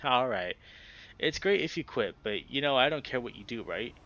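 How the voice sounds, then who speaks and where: A man's voice: medium pitch, animated, normal speed, sharp tone. A man voicing an easygoing, affectionate male wolf in a visual novel, casual and good-humored in his teasing. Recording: dull, noisy home recording.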